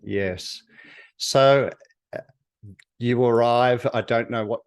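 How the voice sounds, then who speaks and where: Man, interviewer, gentle, encouraging, measured questions. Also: medium pitch, smooth. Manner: gentle, encouraging, many mms. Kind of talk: oral history interview.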